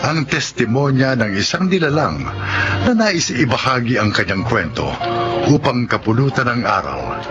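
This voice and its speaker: A man's voice: medium pitch, sing-song, normal speed. A man with a Filipino accent announcing a radio drama in dramatic scripted narration. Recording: muffled broadcast with music underneath.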